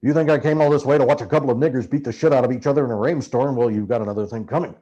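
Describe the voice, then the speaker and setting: A man's voice: mid-range voice, racing, mellow, slightly rough, highs cut off, natural voice. A man voicing a ranting, paranoid, foul-mouthed American journalist in audiobook dialogue, barking urgent, emphatic orders. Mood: defiant, contemptuous.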